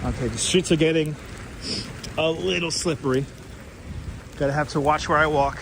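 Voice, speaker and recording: Man doing a mock Canadian accent, jokey beer-loving guy, high bright voice, tags every line. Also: moderate pace, gravelly, a little hiss or hum. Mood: joking.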